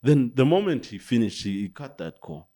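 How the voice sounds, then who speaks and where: A man's voice: mid-range voice, big pitch swings, normal speed, warm tone. A Zambian man co-hosting a casual podcast, speaking English with a Zambian accent and slipping local words into his talk. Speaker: podcast co-host.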